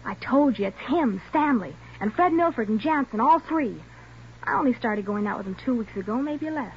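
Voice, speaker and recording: Young female suspect, brazen and blame-shifting, highish pitch; vintage radio crime drama, hissy. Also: animated, normal speed. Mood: sullen confessing. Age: young adult. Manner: defiant, sharp-tongued. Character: defiant nineteen-year-old female gang suspect, brash, blame-shifting. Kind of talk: 1940s radio drama acting. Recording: noisy, muffled 1940s radio recording.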